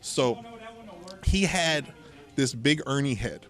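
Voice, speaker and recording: A man's voice: mid-range voice, animated, slow, a bit bright. A man in casual, informal conversation, telling personal anecdotes as a podcast host and acting out bits of dialogue. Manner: informal, acts out quoted dialogue. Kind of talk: casual anecdotal storytelling chat.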